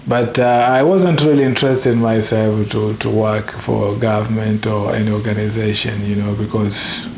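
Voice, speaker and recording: Gravelly low-voiced man from Zanzibar. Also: fairly even intonation, a little mellow. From Zanzibar, Tanzania. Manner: halting, repeats words while searching for English. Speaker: interviewee. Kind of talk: documentary interview. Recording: echoey room with faint background noise.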